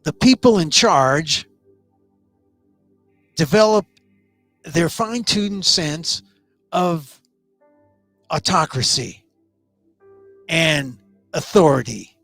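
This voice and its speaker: Middle-aged male talk-show host, hoarse and scratchy, slightly higher voice, rambling opinion piece, soft music under him. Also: lively intonation, very slow, pauses often, no room sound. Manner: opinionated, loose rambling commentary with restarts. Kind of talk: live online talk show commentary.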